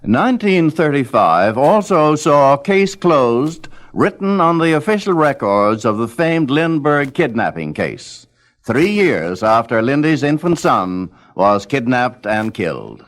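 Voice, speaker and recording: A man delivering scripted documentary narration in a measured, dramatic storytelling manner. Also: medium pitch, very animated. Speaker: narrator of a radio history album.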